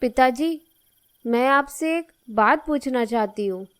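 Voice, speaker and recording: A dutiful young daughter acted by a woman in a cartoon moral story. Her voice is high and she speaks slowly, with an earnest, plaintive and affectionate manner. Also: clean tone. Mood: hurt, questioning.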